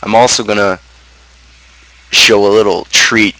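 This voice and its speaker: A man's voice: on the low side, steady tone, unhurried, sharp tone, no room sound, low-quality recording. A man with a North American accent hosting a casual livestream talk show, rambling in blunt, slangy, crude language. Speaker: host of a gaming channel's talk show. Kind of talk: casual livestream chat, book review.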